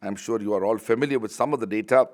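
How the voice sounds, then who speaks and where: This is a middle-aged man speaking English with an Indian accent, his first language being Tamil. His voice sits slightly low as he delivers a formal launch speech in an academic register. He talks in a measured way, breaking his sentences with frequent hesitant pauses. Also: darkish tone. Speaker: university vice chancellor speaking at a book launch.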